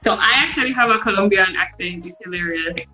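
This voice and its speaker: Bright-voiced woman hosting a podcast. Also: mid-range voice, fast talker, faint music behind, rough recording. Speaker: podcast host. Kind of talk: live podcast chat.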